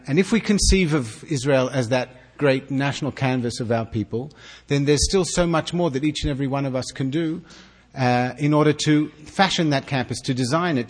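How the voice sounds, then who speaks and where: Earnest Australian man giving a welcome. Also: mid-range voice. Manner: earnest, halting with repeated restarts. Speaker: consul general giving opening remarks. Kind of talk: formal welcome speech at an evening event.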